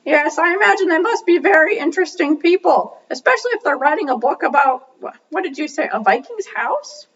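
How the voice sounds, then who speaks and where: A woman voicing a curious, excitable puppet who asks questions in an exclamatory way during a puppet show dialogue. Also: high voice, fairly quick, hardly any pauses, distant mic, muffled recording, noisy background, voice pushed up. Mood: inquisitive.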